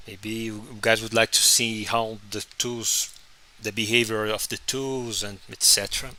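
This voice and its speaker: A man whose first language is Portuguese, chatting casually on a live stream while he draws, halting and restarting often. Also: lowish voice, level tone, pushed and bright, a little hiss or hum. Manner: halting, many restarts and fillers. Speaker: comic artist streaming his drawing.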